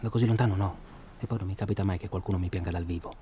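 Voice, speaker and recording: A man's voice: deep voice, steady tone, very fast, dark tone, lightly acted. A man acting in a radio drama as a well-spoken, self-absorbed hunting traveller who talks insistently and at length. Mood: hushed, reflective. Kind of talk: naturalistic radio drama dialogue. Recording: clear ambient noise behind.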